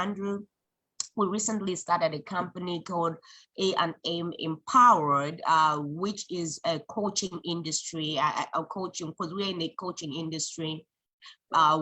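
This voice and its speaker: A woman's voice: fairly low pitch, sharp tone, poor audio. A woman from Zambia, a guest in an interview, telling her story in an earnest, reflective way with frequent restarts. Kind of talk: conversational interview.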